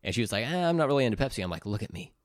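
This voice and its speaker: A man's voice: medium pitch, very animated, racing, no echo, studio quality. A man co-hosting a casual comedy podcast, joking crudely and enthusiastically as he chats. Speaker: podcast co-host. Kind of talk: casual comedic banter, taste-testing sodas.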